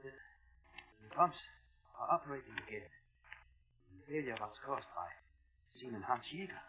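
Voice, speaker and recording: An actor playing a nervous, deferential submarine lieutenant in a 1950s radio drama. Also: male voice, mid-range voice, expressive, measured pace, faint music behind, close to an everyday voice. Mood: uneasy. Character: anxious, dutiful German submarine lieutenant. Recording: dull old radio recording.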